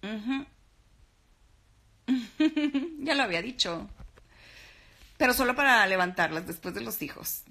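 A Mexican woman in her thirties, lying in bed and chatting casually on a live stream, reading out fan comments in a relaxed, affectionate way, recorded on a phone held at arm's length. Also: fairly high pitch, measured pace, long pauses. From Sinaloa, Mexico. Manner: relaxed, chatty, calls viewers "mi amor" and "baby". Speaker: actress and TV host doing a live stream. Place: lying in bed in a bedroom, indoors.

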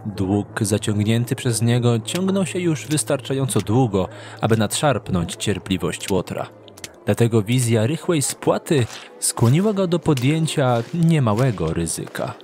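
A man's voice: mid-range voice, sing-song, measured pace, darkish tone. A man reading scripted fantasy story narration as the narrator of an audio drama. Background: music.

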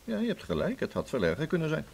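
An actor with a Dutch accent playing an anxious, hesitant father in a radio drama, speaking haltingly. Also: on the high side, wildly swooping pitch, gravelly, darkish tone, slightly rough, exaggerated voice. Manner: halting, stammering. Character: anxious, hesitant father worried for his son.

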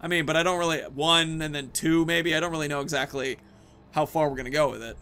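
A man's voice: fairly high pitch, steady tone, fast talker, bright, forceful tone, clear, resonant tone. A man in his thirties giving casual, chatty let's-play commentary, thinking aloud as he plays. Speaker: gaming video host. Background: video game sounds.

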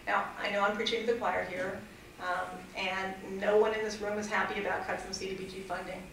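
A woman's voice: mid-range voice, little pitch movement, brisk, gravelly, a bit bright, a little hiss or hum. A middle-aged woman advocating for fair housing at a public hearing, earnest and hesitant, with many fillers and restarts. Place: council chamber. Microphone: desk mic at a distance. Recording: echoey chamber, far from mic.

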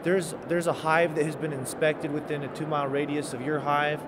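A man's voice: fairly high pitch, level tone, fairly quick. A middle-aged man, a state legislator, explaining his bill in an interview in a capitol rotunda, speaking earnestly with many fillers and restarts into a handheld mic. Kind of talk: on-camera interview. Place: large domed capitol rotunda, indoors. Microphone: handheld mic held by interviewer. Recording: loud background noise in a big hall.

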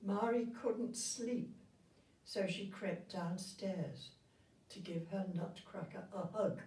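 An elderly woman reading a storybook aloud to children in a gentle, grandmotherly and expressive way. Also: mid-range voice, slow pace. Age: 70s or older. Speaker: retirement village resident reading a story. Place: indoor room with festive backdrop. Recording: roomy sound, distant microphone.

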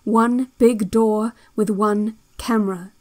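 A woman playing a calm, controlling cassette instructor in an audio drama, giving slow, measured, clinical guided meditation. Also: mid-range voice, lightly acted. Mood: hypnotic, quietly insistent. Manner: measured, deliberate, hypnotic, clinical. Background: new-age music.

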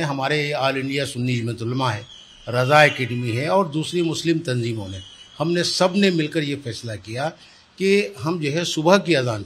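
An older man, explaining his position in a news interview and repeating words for emphasis. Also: on the high side. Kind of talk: spoken news interview answers. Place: small indoor office. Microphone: handheld reporter's microphone held close. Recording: clear background room noise.

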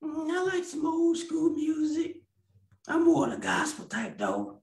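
A man's voice: very high-pitched, wildly swooping pitch, measured pace, vocal fry, reverberant, full character voice. A man voicing a bawdy, churchgoing old grandmother puppet who drawls folksy lines and calls people baby, over a video call. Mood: sassy. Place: small room at home, video call.

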